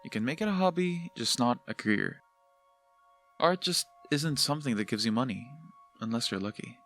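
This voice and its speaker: A man playing a practical, detached father in scripted animated drama dialogue, with an understated manner. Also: mid-range voice, moderate pace, faint music behind. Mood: pragmatic. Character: practical, detached father favoring sensible careers.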